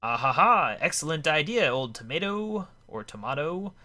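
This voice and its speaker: Pompous old gent, animated. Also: male voice, on the high side, normal speed, pitched above the performer's own voice. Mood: jolly, blustering. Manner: blustering, exclamatory, old-fashioned genteel speech. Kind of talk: voicing video game dialogue.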